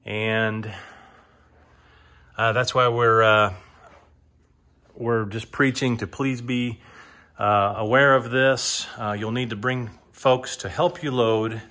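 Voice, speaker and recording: A man giving an informal auctioneer's walk-through of items for sale inside a house, speaking haltingly and repeating himself for emphasis. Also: lowish voice, fairly even intonation, bright, forceful tone. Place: indoors, moving through rooms of a large house. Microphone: handheld phone or camera, held by the speaker.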